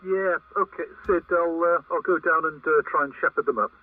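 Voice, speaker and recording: A man's voice: a little high, fairly even intonation, a little fast, phone-quality sound, heavy background noise. A man operating CB radio, chatting casually and making calls in a hesitant, conversational way with radio phrases. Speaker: CB radio operator, net helper.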